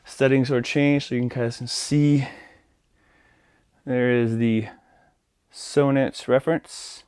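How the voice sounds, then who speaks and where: A man's voice: medium pitch, fairly even intonation, takes it slowly, a little mellow, clean tone, dry recording, clean studio sound. A man hosting an informal video tour, talking off the cuff and addressing viewers directly as he goes. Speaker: tour guide and vlog host. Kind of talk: casual off-the-cuff walkthrough.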